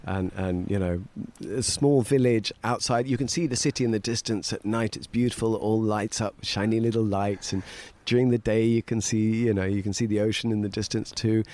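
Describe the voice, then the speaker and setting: A man's voice: fairly low pitch, fairly even intonation, brisk, hardly any pauses, darkish tone. Middle-aged guy from portugal, rambly podcast guest, laughs a lot, playful. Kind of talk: casual conversation.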